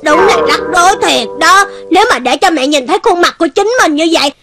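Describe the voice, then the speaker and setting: A woman's voice: extremely high pitch, animated, a little fast, over loud music. A woman voicing an anxious young robot cat, fretful and exclaiming but polite like a dutiful child, in a cartoon dub. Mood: worried, pleading. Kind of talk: Vietnamese cartoon dub acting.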